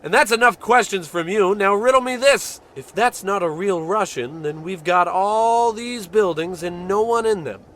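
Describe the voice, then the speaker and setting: An actor playing a pompous, dim, blustering spaceship captain in an old-time radio comedy, commanding and self-important. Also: male voice, sits high, moderate pace, hardly any pauses, a bit bright. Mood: bossy, indignant.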